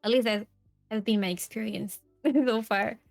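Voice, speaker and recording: A young woman from Portugal with a Portuguese accent, chatting softly and hesitantly as a guest, laughing often. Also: on the high side, fairly even intonation, measured pace, creaky, dry recording, soft background music. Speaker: guest streamer. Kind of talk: casual podcast chat.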